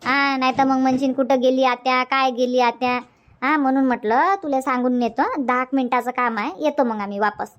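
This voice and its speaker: A woman's voice: high-pitched, fairly even intonation, a little fast, dull audio, lightly acted. A woman voicing a bossy, chatty cartoon village auntie with a Varhadi Marathi accent, insistent and repeating herself. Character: bossy, sociable village auntie organizing her friends. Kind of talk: cartoon comedy dubbing.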